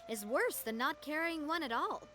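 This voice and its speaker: High-pitched young video game heroine. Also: female voice, animated, moderate pace, pushed and bright, slight background noise. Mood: matter-of-fact. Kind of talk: scripted game character lines.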